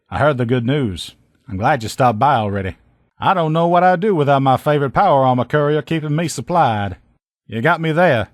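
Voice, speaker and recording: A man's voice: fairly high pitch, no room sound, studio quality, close to an everyday voice. A man voicing a slangy, good-humored veteran lieutenant and mechanic in a video game. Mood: friendly, teasing. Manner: folksy, slangy, talkative. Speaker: video game character voice actor. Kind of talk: video game dialogue lines.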